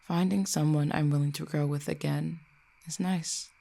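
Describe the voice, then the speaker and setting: Audio drama role: ancient fallen cupid, acted by one performer, mellow and creaky mid-pitched voice, formal, wry, quietly menacing. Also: fairly even intonation, lightly acted. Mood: fond, reflective. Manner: formal, eloquent, measured archaic phrasing. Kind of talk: roleplay audio drama, addressing the listener.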